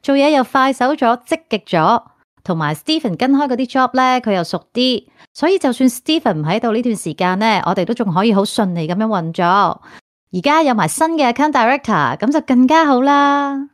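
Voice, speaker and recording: A woman's voice: fairly high pitch, lively intonation, normal speed, no echo, clean studio sound, lightly acted. A woman playing a chatty, deferential female office assistant in a radio drama, with a Hong Kong Cantonese accent.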